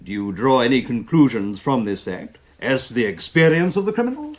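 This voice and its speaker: An actor playing a formal, probing coroner with an English accent, questioning witnesses deliberately in a radio drama. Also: male voice, mid-range voice, very animated, close to an everyday voice. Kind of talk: radio drama courtroom acting.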